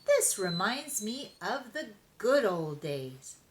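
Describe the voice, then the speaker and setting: A woman's voice: mid-range voice, big pitch swings, slow pace, voice pushed up. A woman voicing a cheerful, nostalgic old grandmother cat in a storybook, with a singsong, joking manner.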